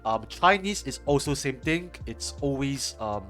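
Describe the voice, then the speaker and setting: A man's voice: medium pitch, lively intonation, a little slow, fairly bright tone, faint music behind. A young man with a Singaporean accent chatting casually to his stream viewers, rambling with lots of fillers and restarts. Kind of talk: casual chat answering viewer chat while editing. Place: home room, indoors. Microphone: headset.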